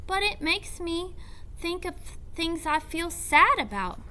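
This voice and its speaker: A woman reader puts on a timid young storybook girl who saddens easily. The voice is high and bright, politely hesitant, with slow phrasing. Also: sing-song, heavily acted, poor audio.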